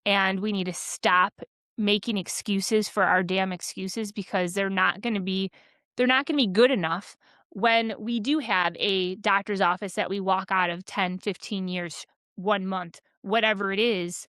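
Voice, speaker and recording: A podcast co-host, she rants with fired-up energy, rambling and restarting as she talks. Her voice sits at an ordinary pitch and sounds close and dry, with no room around it. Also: steady tone, a little slow, fairly bright tone, no echo. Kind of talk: candid casual chat.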